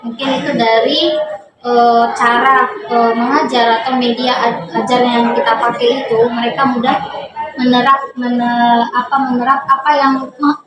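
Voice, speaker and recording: A woman's voice: a little high, little pitch movement, a little slow, music in the background. A woman answers interview questions as a teacher, explaining step by step with some hesitation. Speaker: English teacher being interviewed. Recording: echoey room, distant mic, background noise.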